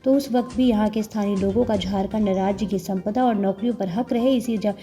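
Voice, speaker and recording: A woman's voice: medium pitch, steady tone, fairly quick, mellow, faint music behind. A woman delivering scripted news narration as a news narrator.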